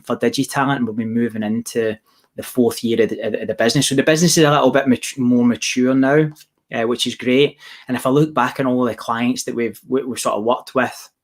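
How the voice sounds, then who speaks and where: Softened Glaswegian man, rambling chat. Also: medium pitch. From Scotland. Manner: rambling, many restarts and fillers. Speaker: business founder answering audience questions. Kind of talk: casual Q&A chat.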